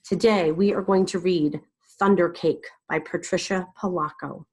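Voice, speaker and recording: A woman reading a story aloud to children in an expressive way, with deliberate, dramatic emphasis. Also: fairly low pitch, slightly rough. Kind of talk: expressive read-aloud narration.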